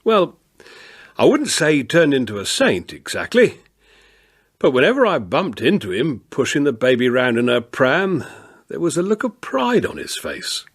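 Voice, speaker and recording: Avuncular older constable for radio drama, expressive intonation, plain-spoken, quietly authoritative. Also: male voice, fairly high pitch, normal speed, breathy edge, exaggerated voice. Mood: wistful storytelling. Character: avuncular, firm but kindly London beat policeman. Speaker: police constable and narrator. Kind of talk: naturalistic radio drama acting and storytelling.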